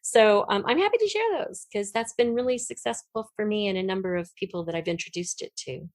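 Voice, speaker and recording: A woman's voice: medium pitch, expressive, brisk, dry recording. A woman speaking as an interview guest and writer, explaining in a conversational storytelling way and voicing questions and thoughts aloud. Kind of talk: animated conversational interview, explaining own method.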